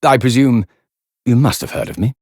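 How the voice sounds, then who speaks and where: Casting: grandiose British detective showman for a video game, wildly swooping delivery, husky voice. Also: medium pitch, very animated, normal speed, a little mellow, no room sound, clean studio sound. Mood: smug. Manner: pompous, grandiose. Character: flamboyant, self-assured British consulting detective, theatrical showman. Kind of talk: theatrical video game voice acting.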